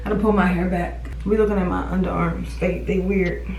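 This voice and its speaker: A woman's voice: fairly low pitch, steady tone, fairly quick, a little mellow, slight background noise. A young woman chatting to the camera while getting ready, repeating words because of a mild stutter. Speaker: beauty vlogger. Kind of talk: casual chat to camera. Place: small warm beauty room at home. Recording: roomy, slightly distant.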